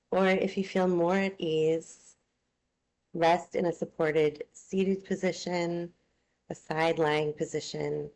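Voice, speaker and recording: A woman teaching yoga nidra, leading a guided relaxation in a soothing, unhurried, gently instructing manner. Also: lowish voice, gravelly, low-quality recording.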